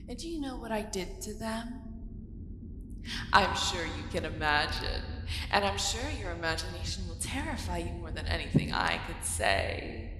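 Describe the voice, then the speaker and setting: An ancient, arrogant dragoness played by a woman speaks in a lowish, very unhurried voice. She is menacing and taunting, with deliberate, archaic phrasing in an ASMR roleplay manner. Her words ring with long cavern reverb over clear background noise. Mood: smug, menacing. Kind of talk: scripted ASMR audio roleplay.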